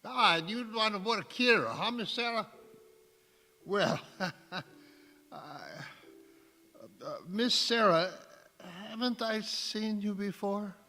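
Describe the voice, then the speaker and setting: A man acts a puzzled employer in a radio drama. His voice is very high and sharp, with slow delivery. The room has long reverb. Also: exaggerated voice. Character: brisk, puzzled foreign employer turning away applicant. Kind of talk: radio drama acting before an audience. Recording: echoey hall, long reverb.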